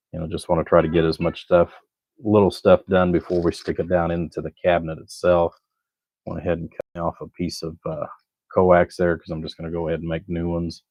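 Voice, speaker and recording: Man, deep low pitch, folksy rambling talk, laid-back livestream host, hobby builder at the bench, muffled lo-fi sound. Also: no echo. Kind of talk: casual livestream chat while working at a bench.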